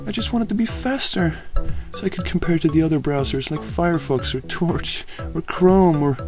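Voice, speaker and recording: A man's voice: extremely high pitch, moderate pace, over music, voice pushed up. A man voicing a timid, shy young female pony who stammers when frightened. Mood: tearful.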